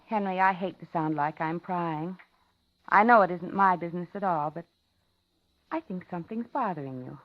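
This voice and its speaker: An actress playing a polite, lonely older widow in a 1950s radio thriller, talking and narrating in a genteel, dramatic way. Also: female voice, fairly low pitch, moderate pace, close to an everyday voice. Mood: wistful, concerned. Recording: dull 1950s radio recording, music behind.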